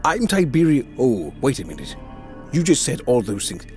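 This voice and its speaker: Man acting a good-natured, bumbling knight commander, lively pitch. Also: moderate pace, soft background music, close to an everyday voice. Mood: flustered, friendly. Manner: hesitant, stumbling over his words. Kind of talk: fantasy audio drama acting.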